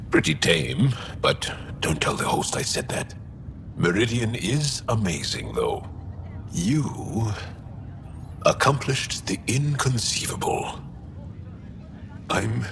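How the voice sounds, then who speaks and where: An actor playing a gracious, reflective male alien ally in a video game, speaking haltingly with pauses between phrases. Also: on the low side, sing-song, gravelly, a bit bright, slight background noise, full character voice. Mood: amused, grateful.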